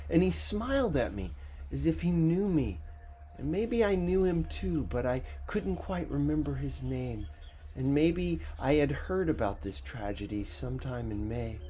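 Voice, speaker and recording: A man narrating a first-person story as an audiobook reader, with a measured, expressive dramatic delivery. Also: fairly high pitch, slight background noise.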